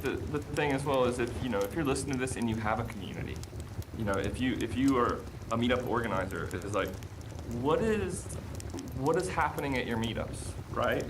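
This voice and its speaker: An American man chatting casually on a podcast, talking with enthusiasm, full of fillers and repeated phrases. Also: mid-range voice. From United States. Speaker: guest, app promoter. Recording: noisy background.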